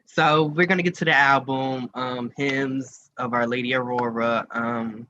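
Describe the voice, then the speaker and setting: A man hosting a casual interview, asking questions in a halting way and often rephrasing himself. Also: medium pitch, steady tone, bright, forceful tone, dull audio, slight background noise. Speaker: interview host.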